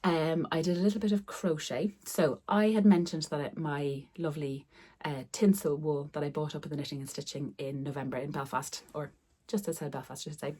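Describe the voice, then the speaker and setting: Hoarse low-voiced woman vlogging. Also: steady tone, fairly quick, mellow. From Ireland. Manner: friendly, repeats words for emphasis. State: losing her voice, hoarse. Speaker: sewing vlogger. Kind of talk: casual chatty vlog. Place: room upstairs at home.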